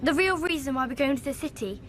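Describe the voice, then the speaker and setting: An actress plays a little girl in a radio drama, high-pitched and childlike. She is knowing, insistent and affectionate, with music playing behind her. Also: fast, close to an everyday voice.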